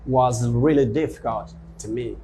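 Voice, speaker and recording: A man's voice: medium pitch, unhurried, warm tone, a little hiss or hum. A man whose first language is Portuguese, answering interview questions in English as a guest teacher, with lots of fillers and restarts. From Africa. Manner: rambling, many fillers and restarts.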